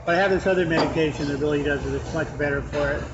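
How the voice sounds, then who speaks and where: A man's voice: fairly high pitch, steady tone, very fast. A man with a nasal voice hosting a podcast, rambling in casual chat with many restarts. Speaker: podcast host. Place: restaurant with a fan. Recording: muffled sound with clear background noise.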